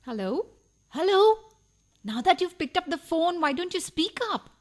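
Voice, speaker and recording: A woman's voice: very high-pitched, very animated, moderate pace. A woman acting a needy, nagging burglar's wife in a radio farce, reproachful and wheedling in a melodramatic way. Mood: impatient. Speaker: radio play actor. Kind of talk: farcical radio play acting.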